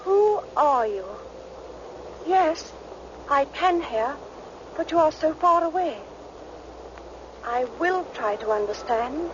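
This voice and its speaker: An actress playing a young female scientist, drained and entranced, speaking haltingly in a 1960s radio drama. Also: sits high, lively intonation. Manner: slow, halting, hushed delivery. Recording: muffled old radio recording, heavy noise.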